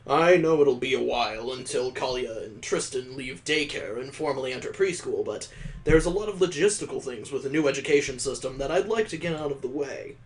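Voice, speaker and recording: A man's voice: medium pitch, expressive, a little fast, lightly acted, rough recording. A man voicing a polite, earnest young female school teacher, read aloud from a visual novel.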